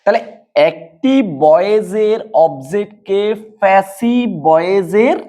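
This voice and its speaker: A woman's voice: medium pitch, expressive, a little slow, dark tone. A woman teaching students a grammar lesson, explaining step by step with repetition and questions she answers herself. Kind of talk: board lesson addressed to students.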